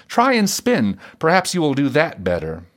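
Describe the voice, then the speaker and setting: Storybook fiddler husband, played by the narrator: blunt, bossy, reproachful, with lively pitch movement. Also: moderate pace. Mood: scolding. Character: gruff, bossy fiddler husband, scolding and matter-of-fact.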